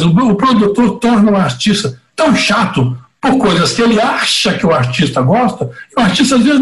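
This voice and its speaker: Man, Brazilian Portuguese accent, high voice, middle-aged or older, casual storyteller, emphatic, roomy echo. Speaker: interview guest, veteran concert producer. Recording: roomy, echoey sound.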